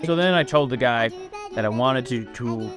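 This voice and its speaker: A man's voice: mid-range voice, moderate pace, close to an everyday voice. A man playing a distracted, rambling job candidate on a phone call in a skit. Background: music behind.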